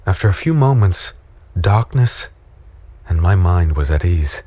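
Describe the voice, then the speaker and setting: Deep-voiced brooding fallen man. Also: expressive, measured pace, warm tone, no echo. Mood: somber. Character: brooding fallen man narrating his own tale. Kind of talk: first-person scripted audio drama narration.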